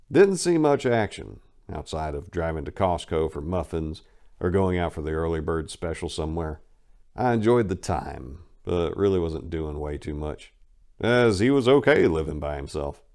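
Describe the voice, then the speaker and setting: A man's voice: deep voice, creaky, a little mellow. A man narrating his own memoir in a casual first-person reading, wry and blunt, with crude humor.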